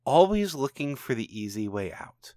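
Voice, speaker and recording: A man voicing a calm, knowing, commanding supernatural father in a storybook reading, speaking in a measured, taunting way. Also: medium pitch, no echo, clean studio sound, voice pushed up, lightly acted. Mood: knowing, challenging. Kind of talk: storybook narration dialogue.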